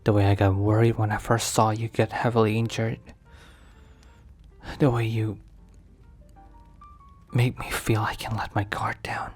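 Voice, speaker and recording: An actor playing a protective mafia boss boyfriend in an intimate ASMR roleplay, speaking slowly and hesitantly in a confiding, sometimes breathy way. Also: male voice, on the low side, little pitch movement, a little mellow, faint music behind. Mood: tender, weary.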